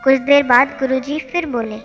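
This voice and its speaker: गूँज भरी रिकॉर्डिंग में पीछे संगीत के साथ एक महिला लिखी हुई धार्मिक नीति-कथा सुना रही है।. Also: female voice, high-pitched, normal speed, dull audio. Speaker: storyteller. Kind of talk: scripted narration of a devotional moral tale. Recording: long hall reverb, music bed. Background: music.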